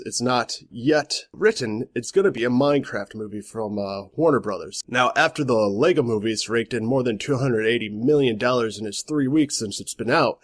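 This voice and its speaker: A man's voice: mid-range voice, no room sound, studio quality. A man hosting a casual gaming news vlog, sounding congested and nasal from a cold, rambling and correcting himself as he goes. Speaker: host.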